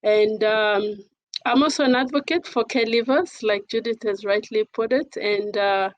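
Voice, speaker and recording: A woman speaking as an advocate on a panel in a formal, measured and somewhat hesitant manner. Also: on the high side, no echo, low-quality recording. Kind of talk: panel talk introducing herself and discussing.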